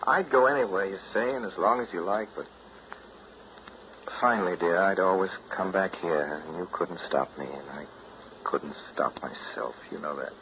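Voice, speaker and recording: A muffled, hissy old radio broadcast carries an actor as a moody man with a low voice. He speaks in clipped, understated lines with a sentimental, wistful streak, in 1930s drama style. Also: normal speed, lightly acted. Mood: wistful, earnest. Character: Jim, moody, sentimental man bound to his old family home.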